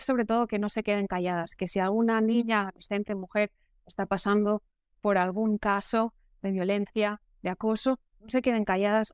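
Earnest woman, smooth clear voice. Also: medium pitch, dry recording, studio quality. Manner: measured, earnest, explanatory. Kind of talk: interview answer.

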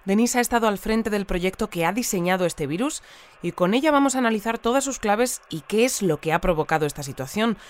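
An actress playing a composed female news presenter delivering a scripted bulletin in a measured way. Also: medium pitch, lightly acted. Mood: inquisitive. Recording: music bed behind the voice.

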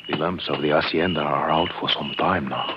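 An actor playing a loyal older sidekick, earnest and deferential, in 1950s radio adventure style. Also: male voice, a bass voice, steady tone, fairly quick. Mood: nostalgic, uneasy. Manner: deferential, earnest, speaking in simple broken English. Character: loyal older Mexican sidekick, once his master's companion. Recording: muffled, noisy old radio recording.

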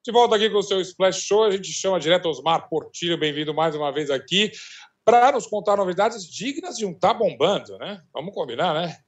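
Older brazilian host guy, high voice, talks quick and gushy. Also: lively intonation. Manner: fast, chatty, effusive. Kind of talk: live entertainment talk show.

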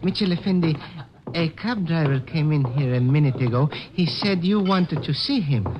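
Obliging club waiter male actor, higher, lilting formal delivery, muffled vintage radio. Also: expressive, moderate pace, background noise, natural voice. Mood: deferential. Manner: polite, formal, deferential. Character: deferential Cairo club servant delivering messages. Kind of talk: old-time radio drama acting. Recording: dull lo-fi old radio.

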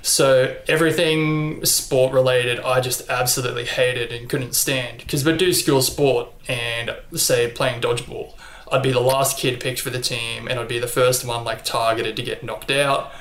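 A man's voice: medium pitch, normal speed. Chatty young Australian man, echoey room. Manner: relaxed, chatty, frequent ums and likes. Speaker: interview guest, athlete. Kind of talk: casual interview chat.

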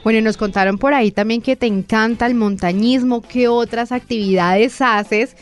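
A woman's voice: on the high side, normal speed, soft background music. A woman with a Colombian accent hosting a friendly, informal interview in a chatty conversational way. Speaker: interviewer, host.

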